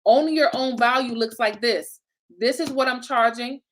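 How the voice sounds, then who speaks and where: A woman's voice: fairly high pitch, normal speed, a little hiss or hum. A woman from Illinois with a Chicago accent and a slight Southern twang, talking as a business coach in a chatty, motivational way, laughing often and checking in with "right?" and "okay?". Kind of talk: motivational solo talk.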